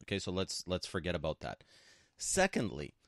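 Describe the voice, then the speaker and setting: A man's voice: low-pitched, huge pitch swings, normal speed, fairly bright tone, dry recording, clean studio sound. A man co-hosting a conversational radio advice show, speaking in an emphatic, assertive way and repeating points for stress. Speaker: radio show co-host and broker. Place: radio studio.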